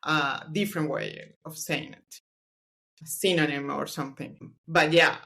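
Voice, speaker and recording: A woman's voice: deep voice, fairly even intonation, unhurried, gravelly, a bit bright. A woman whose first language is Spanish, a scientist guest on a podcast, speaking English in a thoughtful, hesitant way and often trailing off. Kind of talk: casual book club discussion.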